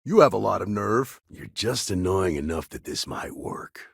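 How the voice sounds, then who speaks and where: Tired space lawman for a game, husky n gravelly, kinda low, stern and guarded. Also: lively intonation, normal speed, dry recording, clean studio sound, full character voice. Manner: guarded, stern, plain-spoken. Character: world-weary, dutiful frontier ranger lawman in a sci-fi city. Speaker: video game character actor. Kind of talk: naturalistic video game dialogue acting.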